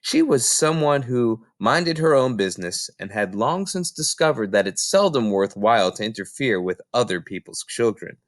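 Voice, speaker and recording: A man reading a children's novel aloud as an expressive audiobook narrator. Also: medium pitch, normal speed, hardly any pauses, dry recording, studio quality. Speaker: storybook reader.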